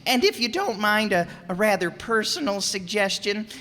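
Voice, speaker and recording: A woman's voice: mid-range voice, animated, normal speed, a bit bright, lightly acted. A woman playing an eager, naive teenage schoolboy in an old-time radio comedy, with an earnest, chatty, folksy delivery. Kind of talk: 1940s radio comedy acting. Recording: very echoey hall stage recording.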